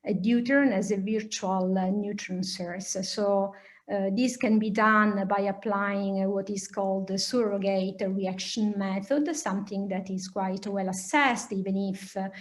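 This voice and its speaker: A woman with an Italian accent giving an academic lecture and answering questions, explaining hesitantly with many pauses. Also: mid-range voice, fairly even intonation. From Italy. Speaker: lecturer, nuclear physicist.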